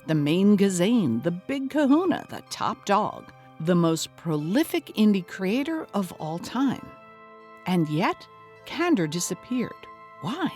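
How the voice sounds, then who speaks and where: A woman's voice: mid-range voice, sing-song, takes it slowly, darkish tone, faint music behind. A woman playing a dogged, earnest podcast host in a scripted mock documentary, with a dramatic delivery. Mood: earnest, intrigued.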